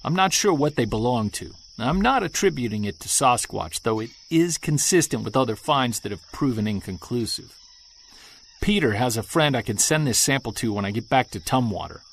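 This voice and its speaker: An actor playing an earnest, excitable Bigfoot researcher, musing to himself as he records a field log in an audio drama. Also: medium pitch, normal speed, vocal fry, slight background noise. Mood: focused, cautiously hopeful. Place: outdoors in wilderness sound setting, handheld recorder.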